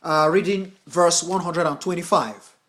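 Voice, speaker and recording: Guy reading out buddhist verses w/ big emphasis then chatting to viewers, kinda high voice. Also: fairly even intonation, a little slow. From United States. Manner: declaims verses with forceful emphasis, then talks casually, addressing viewers as folks. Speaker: Buddhist translator and teacher. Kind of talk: scripture reading and informal teaching to viewers.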